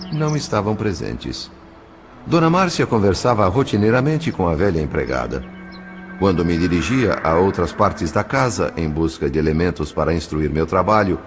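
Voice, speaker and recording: A man with a Brazilian accent reading scripted, literary narration for an audio novel in a measured storytelling manner. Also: deep voice.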